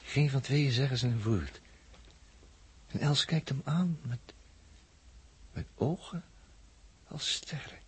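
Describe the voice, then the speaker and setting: Husky-voiced, shrewd spaceman in vintage radio drama. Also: medium pitch. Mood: amused wonder. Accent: Netherlands Dutch. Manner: deliberate, emphatic, with repeated phrases. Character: Jaap, captive spaceman, shrewd, confident, talkative commentator. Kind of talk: early 1960s Dutch radio drama acting. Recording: vintage 1960s radio recording.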